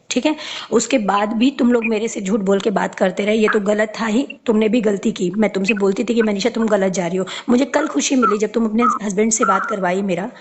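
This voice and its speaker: A woman's voice: mid-range voice, background noise. A woman in a heated personal conversation on a recorded phone call, speaking insistently and repeating herself to take the floor.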